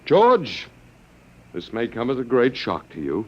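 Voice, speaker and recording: An actor playing a resigned but quietly stubborn prisoner in an old radio drama, dry, sardonic and understated. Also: male voice, medium pitch, huge pitch swings, measured pace. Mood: wry defiance. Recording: muffled vintage radio drama recording.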